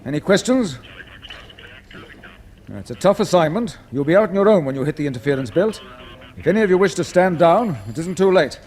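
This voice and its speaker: A grave British space controller in an old radio serial. His voice sits slightly high, with formal, clipped authority. The 1950s recording carries faint noise. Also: lively intonation, a little mellow, rough recording. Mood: firm, serious. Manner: formal, clipped, measured command. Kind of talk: 1950s BBC radio drama acting.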